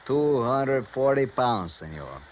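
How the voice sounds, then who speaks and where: An actor playing an excitable, self-important Mexican police sergeant in a 1950s radio drama, theatrical and expansive, with a Mexican accent and broken English. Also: male voice, mid-range voice, slow pace. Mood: boastful. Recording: dull, noisy 1950s radio recording.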